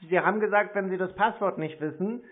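A man's voice: high-pitched, fairly quick. A man in a casual phone conversation over a narrow line, speaking bluntly with a naive air. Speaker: call recipient baiting phone scammers.

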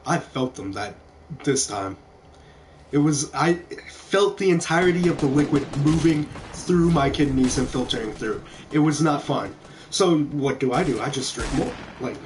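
A man's voice: on the high side, slight background noise. A young man from Kentucky with an American accent, telling rambling casual stories while he streams a video game. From small town in Kentucky, USA. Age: young adult. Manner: rambling, conversational. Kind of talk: casual storytelling over gameplay. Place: indoor room at home. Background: background music.

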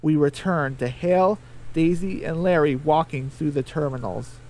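A man's voice: on the high side, moderate pace, darkish tone, a little hiss or hum, poor audio. A man narrating a scripted comedy radio drama as its storyteller.

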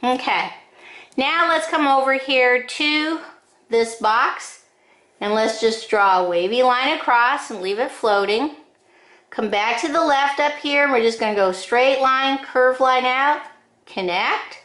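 Slow, high-voiced woman teaching drawing. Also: a bit bright. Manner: short, deliberate phrases with frequent pauses. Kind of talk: step-by-step drawing instruction. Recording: roomy, echoing indoor sound.

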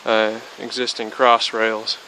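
A man's voice: on the low side, takes it slowly, a little hiss or hum, rough recording. A young man in his twenties giving a casual, rambling how-to explanation outdoors, full of fillers and restarts, a little spacey from being sick. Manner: rambling, many fillers and restarts, flat delivery. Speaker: DIY hobbyist. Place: outdoors by a car, then under a metal-roofed shed.

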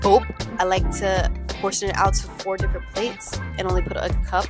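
A young woman talks straight into her phone camera in a chatty, slangy vlog style. She sits at a mid pitch, with music playing clearly under her voice. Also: monotone, brisk. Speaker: vlogger. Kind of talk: casual vlog chat. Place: grocery store, then home kitchen. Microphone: handheld phone camera at arm's length.

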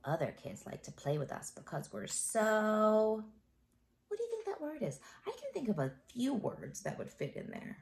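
A middle-aged woman, an elementary school teacher, reading a picture book aloud to young children in an expressive, encouraging way and pausing to ask them questions. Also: mid-range voice, creaky.

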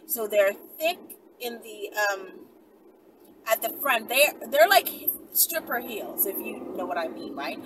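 Young female vlogger, higher-pitched, chatty storyteller; recorded in a car with clear background noise. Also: measured pace. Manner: animated, conversational, frequent checking tags like "right?". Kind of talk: casual chatty storytelling to viewers. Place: inside a car in daylight. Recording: distant mic, noisy car interior.

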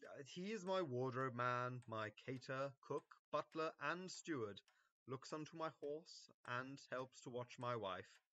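An actor playing a pompous, self-absorbed gentleman in an early modern comedy, theatrical and declamatory. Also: mid-range voice, expressive, unhurried. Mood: self-important.

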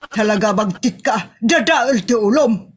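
A woman's voice: a little high, lively intonation, unhurried, husky. A woman with an Ilocano accent playing a jealous, hot-tempered young wife who scolds shrilly in a comedy drama. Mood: furious, accusing.

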